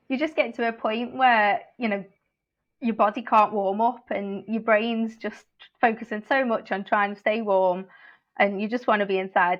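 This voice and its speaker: Chatty woman, dull lo-fi sound. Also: mid-range voice. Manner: chatty, laughs often. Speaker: guest, early years teacher. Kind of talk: casual podcast chat.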